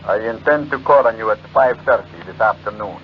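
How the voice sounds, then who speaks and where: Smooth-mannered villain played by a man, low register, formal, coolly menacing radio-drama delivery, dull vintage sound. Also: close to an everyday voice. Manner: oily, overly formal politeness, deliberate phrasing. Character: suave, menacing male blackmailer, coolly polite. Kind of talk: 1940s radio mystery acting. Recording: muffled old radio recording with noisy hum.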